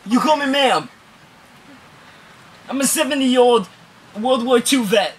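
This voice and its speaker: Casting: cranky elderly male veteran on a prank call acted by a young man, clear vehicle rumble behind. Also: lively intonation, a little slow. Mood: indignant. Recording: noisy moving bus, engine rumble.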